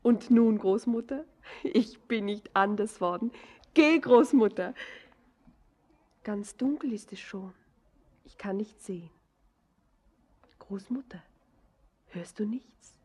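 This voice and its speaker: Dreamy young woman, breathy and husky. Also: mid-range voice, slow pace, long pauses, darkish tone. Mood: wondering, dreamy. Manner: lyrical, breathy, lapsing into whispers. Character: dreamy, tender young miner's daughter in love. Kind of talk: German radio play stage acting.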